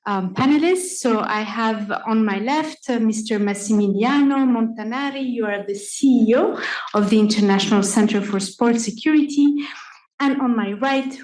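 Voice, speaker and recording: A woman's voice: a little high, a little mellow. A woman moderating a conference panel, polite and formal, with small hesitations and repeated words. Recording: echoey conference room.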